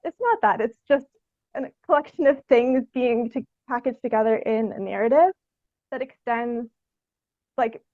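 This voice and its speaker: A woman's voice: a little high, normal speed, dry recording, narrow, tinny audio. A young woman answering interview questions in a hesitant, thoughtful, conversational way, often using "like" as filler. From Canada. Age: young adult. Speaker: podcast guest, theatre artist.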